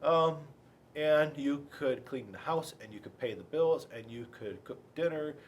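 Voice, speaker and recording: A man's voice: fairly high pitch, a little slow, a little hiss or hum. A man reading a picture book aloud and chatting to children, playful and full of rhetorical questions. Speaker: school resource officer reading to children.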